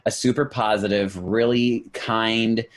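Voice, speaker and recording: An American man chatting casually as a podcast co-host, in a goofy, animated and rambling way. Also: on the low side, unhurried.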